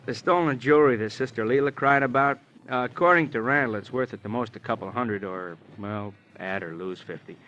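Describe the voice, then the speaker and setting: An actor playing a wry, long-winded American police detective, rambling and needling, in 1950s radio crime drama style. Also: male voice, mid-range voice, a little fast, hardly any pauses, a bit bright, natural voice. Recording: dull, noisy old radio recording.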